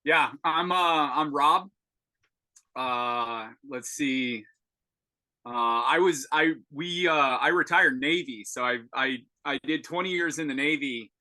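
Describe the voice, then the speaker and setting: A man in his forties talking over a video call as a podcast guest, pausing often with fillers and restarts. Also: fairly high pitch, pushed and bright. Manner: halting, many fillers and restarts. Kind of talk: casual video-call interview.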